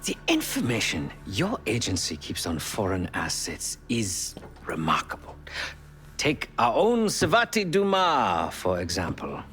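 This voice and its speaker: An eccentric hacker with a low voice that swoops up and down theatrically. He savours each phrase like a showman in a game cutscene, with music clearly behind him. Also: huge pitch swings, measured pace, a bit bright, exaggerated voice. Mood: amused, teasing. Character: eccentric, theatrical male intelligence hacker and gadget maker. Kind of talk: video game cutscene acting.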